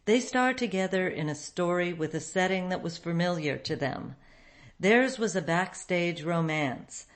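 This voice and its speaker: A woman presents a radio program in a fairly low voice. She reads a scripted introduction as the show's host. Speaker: host of an old-time radio show. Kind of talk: scripted narration, show introduction.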